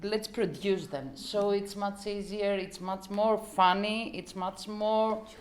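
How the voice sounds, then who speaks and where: A woman presenting an idea informally, halting and repeating words as she searches for the next one. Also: medium pitch, fairly even intonation.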